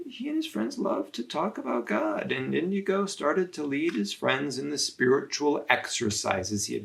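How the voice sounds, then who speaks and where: Creaky-voiced man reading a children's story. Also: mid-range voice, fairly bright tone. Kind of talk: read-aloud storytelling narration.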